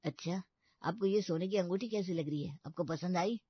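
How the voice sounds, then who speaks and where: A woman's voice: fairly low pitch, normal speed, breathy edge, no echo. Lady doing a friendly old village uncle, eager n coaxing, cartoon comedy, dry bit muffled audio. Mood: polite, accommodating. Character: jovial, hospitable village uncle, older male relative. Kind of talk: Hindi comedy cartoon story dubbing.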